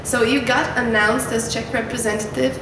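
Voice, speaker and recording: A woman's voice: fairly high pitch, steady tone, moderate pace. A woman from Bulgaria asking questions as a journalist at a press conference. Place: echoey indoor press conference room. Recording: echoey, distant, noisy room.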